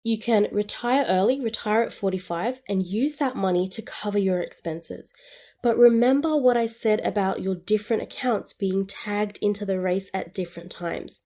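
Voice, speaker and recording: A woman's voice: mid-range voice, moderate pace, dark tone. A woman in her twenties hosting a solo podcast, explaining things in an upbeat, friendly way. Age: 20s. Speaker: podcast host. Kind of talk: scripted solo podcast on personal finance.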